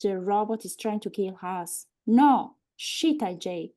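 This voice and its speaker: A woman's voice: medium pitch, animated, takes it slowly, mellow. An actress in a dramatic table read playing a bewildered woman trying to talk through a wall. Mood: bewildered, alarmed. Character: Aoife, confused woman shouting through a wall.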